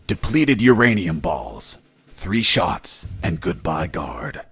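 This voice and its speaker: An actor playing a gruff, wisecracking soldier in a video game, with a husky voice and deadpan delivery. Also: male voice, sits low, slow pace, full character voice. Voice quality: husky, gravelly. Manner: deadpan, dry. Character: gruff male soldier recounting his prison escape, deadpan wisecracker. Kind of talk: video game voice acting, narration.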